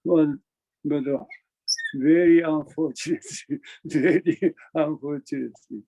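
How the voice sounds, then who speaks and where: A man with a Japanese accent giving an informal spiritual talk in English as a Zen master, halting, repeating his phrases and chuckling often. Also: fairly high pitch, fairly even intonation, gravelly, dark tone, low-quality recording. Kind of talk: informal spiritual talk over online meeting. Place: online video meeting.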